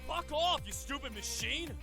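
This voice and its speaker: A man's voice: very high-pitched, expressive, normal speed, vocal fry, full character voice. A man playing a defiant, irritable young fugitive in a visual novel game, exasperated and prone to cursing. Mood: angry, defiant. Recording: loud music behind the voice. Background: game music.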